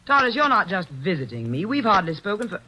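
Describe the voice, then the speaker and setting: An actress playing a nervous young stage actress in a 1940s radio drama, speaking in a tense, defensive way. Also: female voice, medium pitch, very animated, fast talker, sharp tone, poor audio. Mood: agitated.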